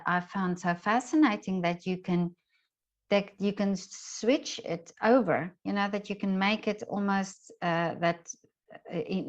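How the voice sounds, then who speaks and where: Woman interviewer, dull lo-fi sound. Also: medium pitch. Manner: tentative, searching phrasing with frequent backchannels. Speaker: interviewer, host. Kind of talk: casual conversational interview.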